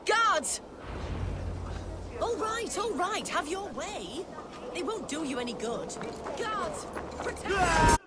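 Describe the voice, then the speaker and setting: A woman's voice: very high-pitched, sing-song, slow, long pauses, heavy background noise, heavily acted. An actress playing a haughty, devout noblewoman with an indignant, imperious and theatrical manner. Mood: panicked defiance. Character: haughty, devout noblewoman guarding her brother's secret. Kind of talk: dramatic video game acting.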